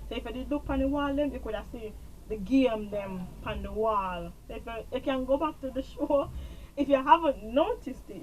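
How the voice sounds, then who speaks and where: A woman with a Jamaican accent talks through a series recap in loose, rambling chat. Her voice sits a little high, and she circles back over the same points. A faint hiss of noise sits under her voice. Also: low-quality recording. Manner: rambling, repeats herself, trails off with "blah, blah". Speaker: TV show reviewer. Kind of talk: casual show recap.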